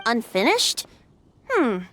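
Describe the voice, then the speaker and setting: High, lilting, playful boyish bard. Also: female voice, wildly swooping pitch, slow, fairly bright tone, faint music behind, full character voice. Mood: teasing. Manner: teasing, airy, theatrical. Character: playful, breezy, boyish young male wandering bard. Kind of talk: video game character acting.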